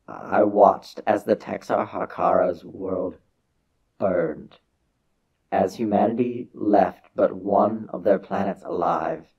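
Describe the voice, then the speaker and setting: A man voicing a grave old alien elder who recounts a war to children in a dramatic science fiction reading, with deliberate theatrical pauses. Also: lowish voice, mellow, husky, dull audio, heavily acted.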